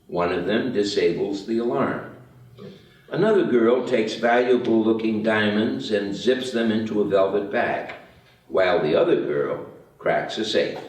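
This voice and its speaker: Slow-paced man reading stage directions, very echoey. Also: fairly low pitch. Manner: measured, matter-of-fact. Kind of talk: scripted narration at a screenplay table read. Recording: very echoey room, distant mic.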